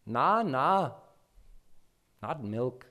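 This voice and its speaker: A man's voice: big pitch swings, extremely slow, pushed and bright, exaggerated voice. A man voicing the small boy Krishna, an impatient child who whines and insists. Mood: whiny, demanding. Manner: whining, insistent, high childish pleading.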